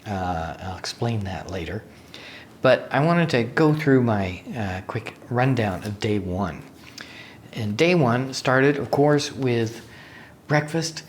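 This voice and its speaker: A man talks casually to his vlog audience in a mid-pitched, slightly raspy voice. He speaks off the cuff, halting to find the right word and wandering into small asides. The recording is from a hotel room, with a faint layer of noise behind him. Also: lively intonation. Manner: halting, searches for words, rambling asides. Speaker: vlogger, software developer. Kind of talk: casual unscripted vlog recap.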